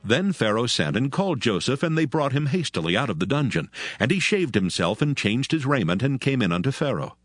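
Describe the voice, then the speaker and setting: A man's voice: medium pitch, big pitch swings, fairly quick, no room sound. A man narrating scripture as a solemn storyteller in a dramatized audio style. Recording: music behind the voice.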